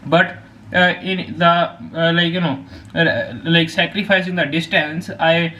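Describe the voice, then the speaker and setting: A man's voice: fairly high pitch, a little slow, bright, forceful tone. A teenage boy from Punjab with an Indian accent, a native Hindi speaker, talking casually to camera with lots of fillers and restarts. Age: teens. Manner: rambling with many fillers and restarts. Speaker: vlogger.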